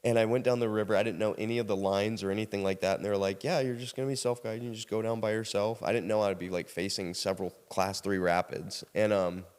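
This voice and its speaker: A man's voice: fairly low pitch, fairly even intonation, quick pace, few pauses, a little mellow, smooth. An American man from South Carolina telling casual personal stories as a podcast guest, acting out bits of conversation as he goes. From South Carolina, United States. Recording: reverberant hall-like room sound.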